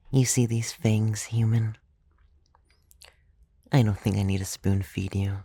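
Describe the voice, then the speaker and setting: Man as a creaky, soft-spoken ASMR vampire. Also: on the low side, no echo. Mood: coy, apologetic. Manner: hesitant, intimate, playfully teasing. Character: clumsy, gentle, centuries-old male vampire, teasing and coaxing. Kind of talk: ASMR roleplay, soft-spoken with whispered stretches. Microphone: close, dry microphone.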